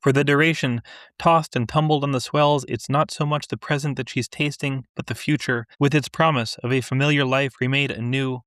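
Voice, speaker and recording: A man reading literary fiction aloud and discussing it as a podcast co-host, in a measured, deliberate way. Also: mid-range voice, steady tone, a bit bright, clear, resonant tone, no room sound, clean studio sound.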